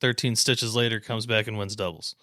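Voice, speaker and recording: Bright, forceful lowish-voiced man bantering. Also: fairly even intonation, a little fast, no echo, clean studio sound. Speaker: podcast co-host. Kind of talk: casual banter.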